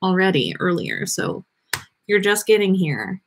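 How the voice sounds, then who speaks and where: A woman hosting a livestream in a playful, expressive manner. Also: fairly low pitch, unhurried, creaky, slight background noise. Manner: playful, sweary, teasing, expressive. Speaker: podcast host reading fan fiction on a livestream. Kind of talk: casual livestream banter.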